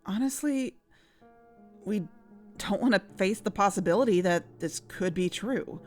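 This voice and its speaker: A woman in scripted audio drama dialogue, earnest and worried, sometimes rushing her words. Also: medium pitch, animated. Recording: music bed underneath.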